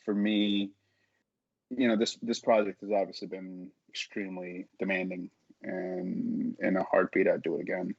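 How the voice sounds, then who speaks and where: A young man talking candidly as a podcast guest, halting as he searches for his words. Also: sits low, creaky, a little mellow, no room sound. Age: young adult. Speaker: podcast guest, audio drama creator. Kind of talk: candid casual conversation. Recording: dull, dry lo-fi sound.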